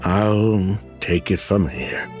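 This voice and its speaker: A man's voice: lowish voice, takes it slowly, over music. An actor playing an evasive, guilty male perfume businessman in a video game, stammering and defensive as he explains himself. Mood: justifying.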